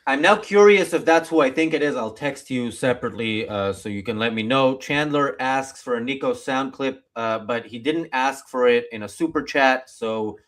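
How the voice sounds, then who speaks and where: A man's voice: mid-range voice, normal speed, few pauses, a bit bright. Guy hosting a live online talk show, casual chat, likes to tease and banter. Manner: bantering, teasing.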